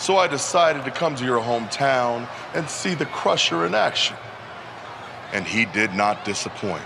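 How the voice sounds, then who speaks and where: An actor playing a menacing pro wrestler in a video game, taunting with mock-casual, deliberate storytelling. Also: male voice, mid-range voice, expressive. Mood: smug menace. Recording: clear outdoor background noise.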